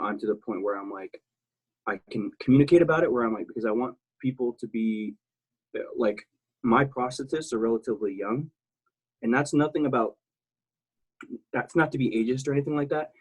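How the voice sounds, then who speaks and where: A man's voice: mid-range voice, a little mellow, husky, rough recording. A man in his thirties from South Texas, a military veteran talking as an interview guest, rambling and blunt, with many restarts and fillers. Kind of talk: casual interview talk.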